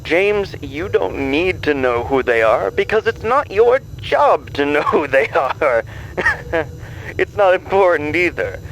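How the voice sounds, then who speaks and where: A man's voice: a little high, very animated, takes it slowly, fairly bright tone, slight background noise, heavily acted, rough recording. A man playing a smug, scheming mastermind in an audio drama, condescending and given to chuckling. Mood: smugly amused.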